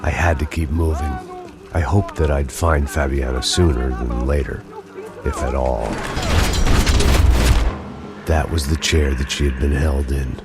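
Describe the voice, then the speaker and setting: An actor playing a cynical, hard-drinking middle-aged ex-cop, narrating a video game in dry noir voice-over. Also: male voice, extremely low pitch, steady tone, a little slow, pauses often. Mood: grim. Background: game score music.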